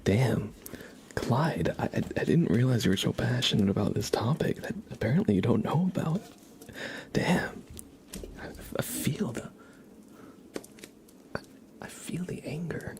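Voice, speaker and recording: Slow, whispering man doing ASMR. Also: mid-range voice, lively intonation. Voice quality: breathy whisper. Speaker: ASMR livestream host. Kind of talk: ASMR livestream chat, whispered and soft-spoken.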